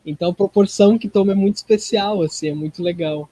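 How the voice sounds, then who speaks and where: A man's voice: sits high, quick pace, mellow, no room sound. A man with a southern Brazilian accent from Rio Grande do Sul, chatting casually as an actor being interviewed.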